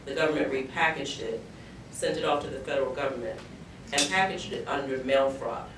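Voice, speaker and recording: Echoey, distant man speaking earnestly. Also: a little fast. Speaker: panelist, entrepreneur. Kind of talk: earnest panel discussion speech. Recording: echoey room, distant mic, background rumble.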